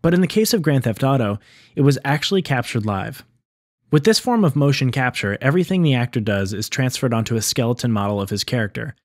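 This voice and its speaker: A man hosting an explainer video, reading scripted narration in a clear teaching structure. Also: mid-range voice, animated, fast, no room sound, clean studio sound. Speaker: video essay host on game sound design.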